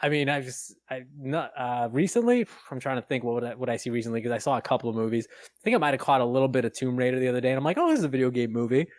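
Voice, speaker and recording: A man's voice: mid-range voice, animated, very fast, dry recording, studio quality. A man co-hosting a podcast in casual conversation, informal and often thinking aloud. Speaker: podcast co-host. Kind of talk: casual panel chat about games and films.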